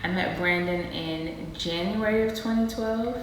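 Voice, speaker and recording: A woman in her thirties co-hosting a casual couples Q&A vlog, animated and quick to exclaim. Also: fairly low pitch. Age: 30s. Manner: animated, laughing, dramatic exclamations. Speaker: vlogger and co-host. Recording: roomy echo with faint noise.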